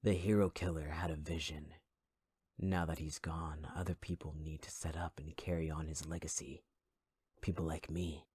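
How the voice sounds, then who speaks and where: A man voicing a scarred, possessive fire-wielding villain in an ASMR roleplay, taunting in a low half-whisper. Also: little pitch movement, normal speed, darkish tone, breathy edge, no room sound, full character voice.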